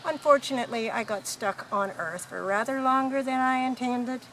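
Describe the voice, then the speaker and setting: A woman's voice: fairly high pitch, normal speed, a bit bright, a little hiss or hum, low-quality recording. A woman playing a breezy, knowing galactic hitchhiker in a radio play, explaining things in a matter-of-fact way. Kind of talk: amateur radio play acting.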